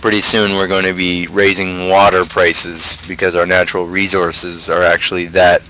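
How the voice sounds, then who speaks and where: Young male streamer, low register, casual and opinionated rambling, light noise behind the voice. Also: little pitch movement, moderate pace, bright, forceful tone, low-quality recording. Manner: opinionated, ranting. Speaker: livestream host. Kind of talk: casual rambling livestream chat.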